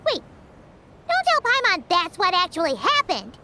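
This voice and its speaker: Squeaky, very high little fairy companion. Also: female voice, huge pitch swings, moderate pace, background noise, heavily acted. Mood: cheeky doubt. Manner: squeaky, cutesy. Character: tiny childlike female fairy companion, cheeky and inquisitive. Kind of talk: cartoonish video game dialogue. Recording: background music under voice.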